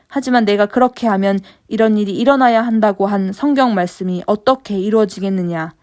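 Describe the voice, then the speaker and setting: A woman reading Jesus, grave and calm. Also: medium pitch, a little fast, lightly acted. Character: Jesus, calm grown man, grave and firm. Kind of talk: scripture reading.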